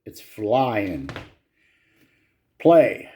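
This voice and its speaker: A man with a slow, unhurried delivery and a dark, relaxed tone talks his way through a hands-on repair. He speaks in short, halting phrases, half to himself, and is casually profane. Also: lowish voice. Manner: muttering, halting, talking to self in short phrases. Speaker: hobbyist repairer filming own repair. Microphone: handheld camera.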